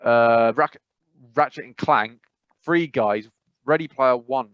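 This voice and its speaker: A man with an English accent co-hosting a podcast, rambling and animated, with emphatic repetitions. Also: mid-range voice, slow, smooth, dry recording, dull audio. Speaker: podcast co-host. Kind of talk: casual chat.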